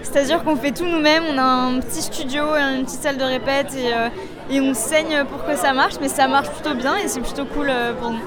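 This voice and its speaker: High-voiced young woman amid a crowd. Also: fairly even intonation, a little fast, music in the background. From Sète, south of France. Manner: chatty, hesitant with frequent fillers. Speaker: musician and DJ, guest. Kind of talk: casual interview answers. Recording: noisy crowd in background.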